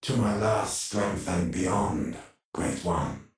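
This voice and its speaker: A man's voice: low voice, animated, slow pace, soft background music, full character voice. A man voicing a devoted, solemn machine warrior who serves a king in a video game. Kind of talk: dramatic video game cutscene acting. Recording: cavernous echo, distant from mic.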